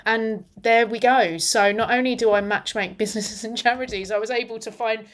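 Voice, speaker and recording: A woman in her forties, a radio interview guest, telling a personal story in an animated, reflective way. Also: a little high, a little fast. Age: 40s.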